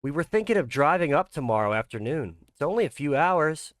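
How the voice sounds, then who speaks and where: A concerned wife and mother , read by a man in a visual novel, pitched above his usual voice. She has a questioning, lightly teasing manner. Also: sing-song, a little fast, dry recording, studio quality.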